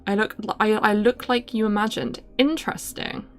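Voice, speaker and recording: A young woman streaming a game, chatting casually and rambling with self-mocking asides and little hummed tunes, her voice deeper than many women's. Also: fairly even intonation, normal speed, faint music behind. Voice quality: fairly deep for a woman. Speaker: livestreamer.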